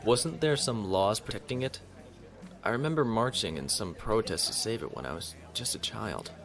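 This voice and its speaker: Casting: dutiful young space-navy lieutenant, courteous, on the lower side, for audio drama. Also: male voice, animated, normal speed, fairly bright tone. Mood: polite, wistful. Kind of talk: audio drama acting, log narration.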